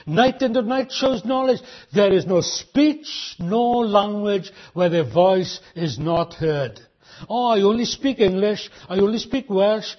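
A man preaching a sermon, emphatic and full of rhetorical questions, slowing right down to drive points home. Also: high-pitched, slightly rough, lo-fi sound.

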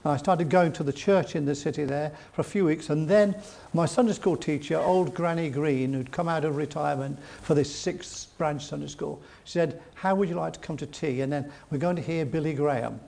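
Older man, gravelly voice, fairly high pitch; rambling, chuckling interview guest. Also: brisk, few pauses, a little mellow. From England. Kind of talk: storytelling interview.

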